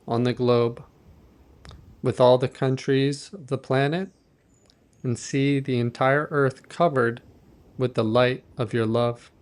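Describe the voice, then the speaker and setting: Gentle man guiding a meditation. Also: medium pitch, clean tone. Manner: gentle, measured, instructing softly. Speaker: meditation guide. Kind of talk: guided meditation narration.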